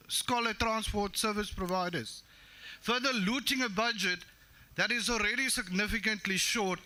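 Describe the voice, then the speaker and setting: Defiant Afrikaans-speaking man, bright voice. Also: high voice. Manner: assertive and defiant. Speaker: member of a legislature. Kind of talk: parliamentary debate speech. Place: legislature chamber.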